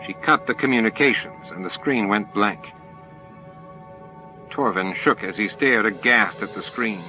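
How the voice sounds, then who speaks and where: A man's voice: fairly low pitch, a little slow. A man reading scripted dramatic narration as an audiobook narrator. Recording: dull lo-fi tape with music.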